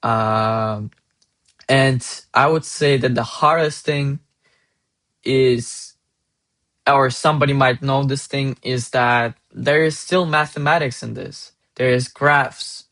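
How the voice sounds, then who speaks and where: Young man, Russian accent, non-native speaker, earnest, hesitant, mid-pitched voice. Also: steady tone, slow, fairly bright tone. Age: young adult. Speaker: IB student. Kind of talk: casual advice monologue.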